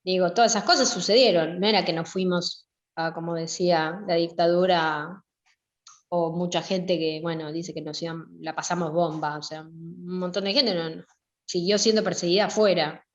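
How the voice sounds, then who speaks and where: A woman's voice: fairly low pitch, fairly even intonation, moderate pace. A woman with an Argentine accent, a photographer being interviewed, speaking reflectively and searching for her words. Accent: Argentine Spanish. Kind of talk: conversational interview. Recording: echoey, dull lo-fi sound.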